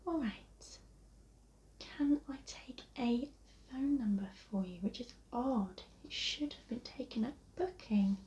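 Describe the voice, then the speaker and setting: A woman with an English accent speaking softly and breathily, often near a whisper, in a polite, reassuring, unhurried ASMR receptionist roleplay. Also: fairly high pitch. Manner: polite, reassuring, unhurried customer-service talk. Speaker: ASMR host playing a receptionist. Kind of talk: soft-spoken ASMR roleplay. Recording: lo-fi, muffled, noticeable background hiss.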